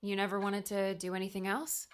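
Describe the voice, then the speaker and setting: Casting: actress as sad young woman seeking company, audiobook drama, medium-pitched, hesitant, full of questions. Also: monotone, brisk, clear, resonant tone, lightly acted. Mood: curious, sympathetic.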